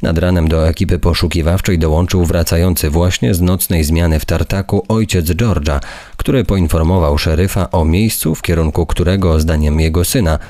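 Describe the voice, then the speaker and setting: Low-pitched man narrating true crime. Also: steady tone, normal speed, dark tone. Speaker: podcast narrator. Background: background music.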